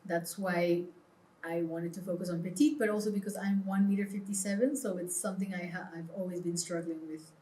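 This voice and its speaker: Lower-voiced woman in an echoey room. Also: fairly even intonation, brisk, warm tone, a little hiss or hum. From Peru. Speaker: interview guest, clothing brand founder. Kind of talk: conversational interview answers. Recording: roomy, echoing sound.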